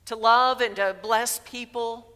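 A woman in her sixties preaching a sermon in a church sanctuary through a headset mic, measured and emphatic. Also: a little high, fairly even intonation, bright, forceful tone. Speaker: pastor. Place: large church sanctuary, indoors. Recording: long reverb of a church hall.

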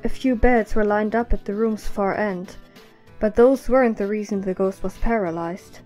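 A woman's voice: mid-range voice, normal speed, a little mellow, faint music behind. A woman narrating a scripted story as the narrator of an audio drama.

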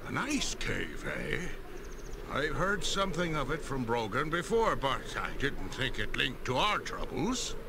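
A man voicing a hearty, earnest older dwarf clan leader in a video game, gravelly and emphatic. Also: mid-range voice, huge pitch swings, measured pace, noisy background, exaggerated voice. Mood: cautioning. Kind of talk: scripted video game dialogue.

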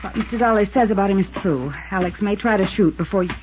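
A woman's voice: mid-range voice, quick pace, very noisy, close to an everyday voice. A woman playing a poised, evasive rancher's widow with an American accent in a 1950s radio drama. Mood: urgent. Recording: dull, band-limited 1950s radio recording.

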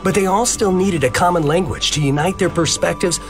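A man's voice: high voice, fairly quick. A man narrating a scripted comic-book history in an expository, emphatic, dramatized way. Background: music.

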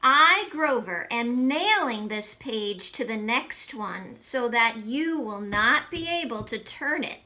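Furry male storybook monster, played by a woman: nervous, dramatic, pleading. Slow, emphatic, forceful and highly animated. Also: full character voice, poor audio. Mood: determined, defiant.

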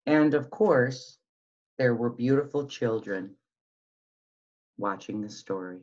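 A middle-aged woman reading a picture book aloud to young children, sing-song and full of questions, on a webcam microphone at home. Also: low-pitched. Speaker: school behavior specialist reading to young children. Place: small room at home, indoors. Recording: dull lo-fi webcam sound.

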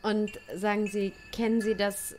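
A woman playing a friendly, hesitant young start-up worker in an improvised radio play, with halting, polite delivery. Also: mid-range voice, little pitch movement, darkish tone, close to an everyday voice. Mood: flattered, hesitant. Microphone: close studio microphone on boom arm.

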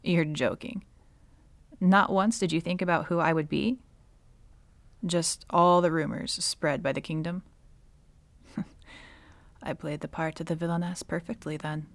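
Centuries-old witch villainess for audio roleplay female actor: unhurried, deep-pitched, sardonic and bitter, softening into breathy near-whispers. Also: fairly even intonation, dry recording. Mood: wry. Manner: slow, sardonic, dropping often into breathy whispers. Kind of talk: ASMR dramatic roleplay monologue.

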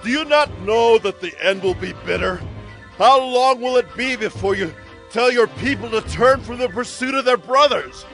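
A man's voice: very high voice, expressive, normal speed, fairly bright tone, full character voice, rough recording. A man playing an earnest, pleading army commander in a dramatized scripture audio drama, speaking in declamatory appeals. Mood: urgent pleading. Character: battle-weary male army commander, urgent, pleading warrior. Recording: dramatic music behind the voice.

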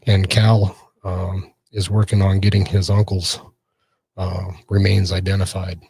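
A man's voice: sits low, completely flat delivery, unhurried, gravelly, darkish tone, breathy edge, no echo. A middle-aged man hosting a podcast interview in a casual way. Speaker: podcast host.

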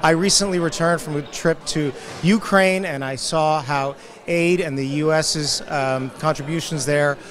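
A man's voice: a little high, steady tone, measured pace, crowd noise. A middle-aged man speaking earnestly and confidently as a guest at a conference booth. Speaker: guest, development company executive. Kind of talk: conference interview. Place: conference hall booth, indoors. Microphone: handheld mic held by interviewer.

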